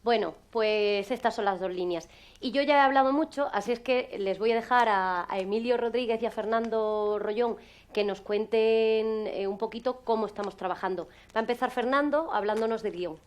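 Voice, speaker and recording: A woman's voice: a little high, moderate pace. A woman presenting at a conference, speaking hesitantly and trailing off at the ends of phrases. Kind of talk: conference talk.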